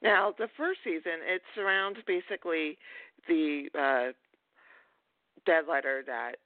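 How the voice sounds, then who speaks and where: A woman's voice: deep voice, measured pace, dry recording. A woman hosting a podcast interview, asking friendly questions with hesitant ums. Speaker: podcast host. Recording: dry, tinny phone-like line.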